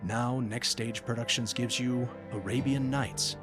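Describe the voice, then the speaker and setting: A man's voice: mid-range voice, fairly even intonation, unhurried. A man narrating a radio drama in a scripted, dramatic storytelling style. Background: music under the voice.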